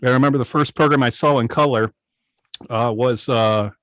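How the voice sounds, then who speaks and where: A man's voice: medium pitch, moderate pace, fairly bright tone, no echo, studio quality. A middle-aged American man telling personal anecdotes casually as a guest, conversational and lightly self-deprecating. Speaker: guest, old-time radio station operator. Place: indoors on a video call.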